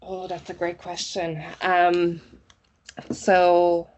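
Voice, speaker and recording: Woman, Polish and English native, interview guest, friendly and chatty, very low pitch, dull lo-fi sound. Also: monotone, extremely slow, a little mellow. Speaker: guest, localization specialist. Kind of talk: casual interview chat.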